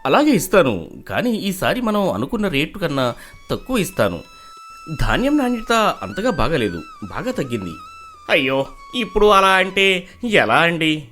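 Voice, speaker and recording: A man's voice: high voice, sing-song, normal speed, sharp tone, soft background music, full character voice. A man voicing village traders and farmers in a dramatised moral story. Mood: firm, dismissive. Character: male villagers in a moral tale: traders, mill owner, wronged farmer.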